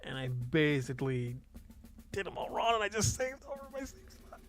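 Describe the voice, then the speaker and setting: A man's voice: a little high, big pitch swings, moderate pace. A man giving casual gameplay commentary, swearing and muttering asides as he plays. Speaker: let's player reading a visual novel aloud. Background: game music.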